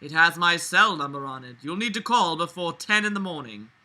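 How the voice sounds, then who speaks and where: Smug young man played by a woman, brooding, possessive, very sharp tone, mocking storybook reading. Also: medium pitch, expressive, fairly quick. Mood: curt.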